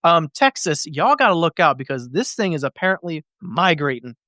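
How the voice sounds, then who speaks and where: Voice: medium pitch, sing-song, moderate pace, sharp tone, no echo. Casual, jokey podcast host, very dry sound. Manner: jokey, profane, slangy storytelling. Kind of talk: casual comedic recap chat.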